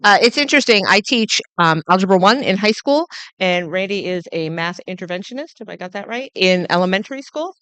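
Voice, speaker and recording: A woman high school algebra teacher with a loud voice, sharing with a conference audience. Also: animated, brisk, clear, resonant tone, dry recording. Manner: loud, says she has a big voice. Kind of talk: sharing in a group discussion. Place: conference room.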